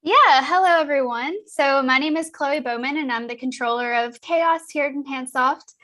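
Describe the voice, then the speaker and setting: High-voiced upbeat woman. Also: normal speed, pushed and bright. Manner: upbeat, friendly explaining with ums. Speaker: guest from a software company. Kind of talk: casual live-stream introduction.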